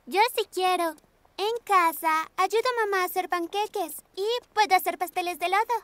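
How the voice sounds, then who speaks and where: A woman's voice: extremely high pitch, steady tone, moderate pace, fairly bright tone. A woman voicing a sweet, earnest little preschool girl in a children's cartoon dub. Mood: cheerful, earnest. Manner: cute, earnest, pleading. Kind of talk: animated children's series dub.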